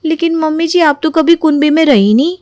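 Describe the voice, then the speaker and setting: A woman plays a young daughter-in-law in a cartoon moral tale, exasperated and ready to talk back. Her voice is clearly high, with a pert, aggrieved edge. The sound is very dry. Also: brisk, darkish tone, no room sound, close to an everyday voice. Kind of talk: Hindi animated moral story acting.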